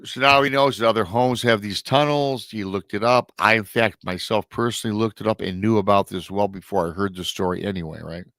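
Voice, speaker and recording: Nasal low-voiced man retelling a story. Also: fairly even intonation, brisk, hardly any pauses, fairly bright tone, dry recording. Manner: chatty asides to viewers. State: nasal, slightly scratchy from lingering illness. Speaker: paranormal show host. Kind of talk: live-stream story retelling.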